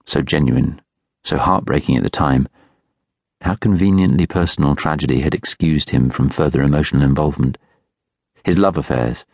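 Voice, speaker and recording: Very deep-voiced British man narrating fiction. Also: darkish tone, no echo, clean studio sound. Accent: British English. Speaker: audiobook narrator. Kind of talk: scripted literary fiction narration. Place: studio.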